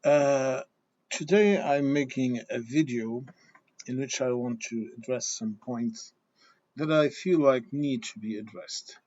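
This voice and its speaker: A man's voice: medium pitch, slow, a little mellow. Man. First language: Portuguese and German. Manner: hesitant with many restarts, fillers and repeated "okay?". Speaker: vlogger. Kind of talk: opinion talk to camera. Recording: slightly dull lo-fi sound.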